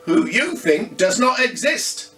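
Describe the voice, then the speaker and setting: A man voicing an excitable, kind-hearted young girl in an audiobook ghost story, exclaiming earnestly. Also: extremely high pitch, slow pace, roomy sound, far from the mic, soft background music, voice pushed up, full character voice. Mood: pointed.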